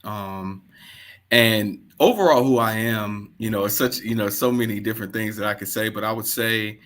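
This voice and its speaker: A man from High Point, North Carolina, a panel guest talking in a laid-back, slangy way over a video call. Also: moderate pace, a bit bright. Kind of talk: casual chat on a video call.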